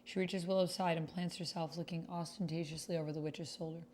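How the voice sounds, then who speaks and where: A woman's voice: fairly low pitch, steady tone, fairly quick, a little mellow, a little hiss or hum. A woman streamer chatting casually and thinking aloud as she plays and reads out a text-based roleplaying game. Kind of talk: casual livestream chat with typed roleplay read aloud.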